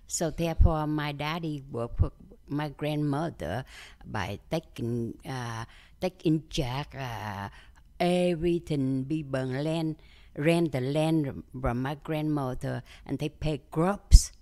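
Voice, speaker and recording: Older woman, Vietnamese accent, low voice, slow and halting, broken English, mellow tone. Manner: simple broken English, halting with many fillers. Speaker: interview guest. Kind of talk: conversational interview.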